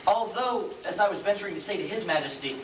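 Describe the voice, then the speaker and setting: A man playing a deferential, long-winded royal chancellor in a stage comedy, formal and halting as he picks his words. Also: high voice, expressive. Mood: flustered, formal. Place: school stage, live play performance. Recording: distant, noisy, echoey room recording of play.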